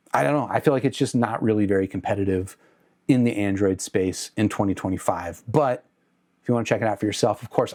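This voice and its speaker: Low-pitched man giving a casual review. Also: darkish tone, husky. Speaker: tech reviewer and channel host. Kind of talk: casual product review to camera.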